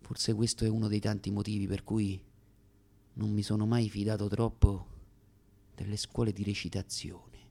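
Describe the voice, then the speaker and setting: An actor with a Roman accent playing a variety comedian who tells his life story in a sardonic, dramatic monologue with deliberate pauses. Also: fairly low pitch, flat delivery, creaky, a little mellow. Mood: confiding, somber. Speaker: stage actor. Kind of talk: theatrical radio monologue.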